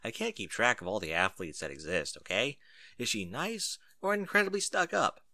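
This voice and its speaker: A man's voice: on the low side, big pitch swings, moderate pace, sharp tone, no room sound, studio quality, full character voice. A man voicing a brash young female pegasus pony, speaking bluntly and casually in a story reading. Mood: curious, defensive.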